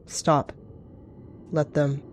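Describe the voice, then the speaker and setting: A woman's voice: deep voice, little pitch movement, very slow, a little hiss or hum. A woman voicing an evil rogue cat, intense, possessive and scornful, in a roleplay drama over music. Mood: cold contempt.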